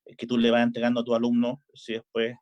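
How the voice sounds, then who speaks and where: Chilean man, halting, filler-heavy speech. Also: medium pitch, monotone, darkish tone, lo-fi sound. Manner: hesitant, many filler "eh"s and restarts. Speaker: volleyball coach. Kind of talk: relaxed interview chat.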